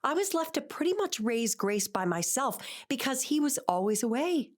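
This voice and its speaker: A woman's voice: high-pitched, very animated, moderate pace. A woman acting an anxious, controlling middle-aged wife and mother in an audio drama, with an earnest, exacting manner. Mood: reflective, defensive. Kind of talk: audio drama acting, confessional monologue and dialogue.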